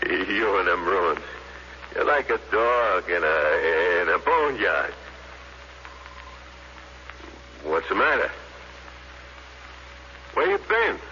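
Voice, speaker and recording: A low-voiced American spaceman from a 1950s radio play, coarse and scornful, forever griping. His delivery swings widely in pitch, and the old recording is muffled. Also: big pitch swings, takes it slowly, pauses often, bright, forceful tone, a little hiss or hum, heavily acted. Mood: mocking. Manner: coarse slangy speech, griping and mocking. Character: crude, scornful male space crewman, lazy griper.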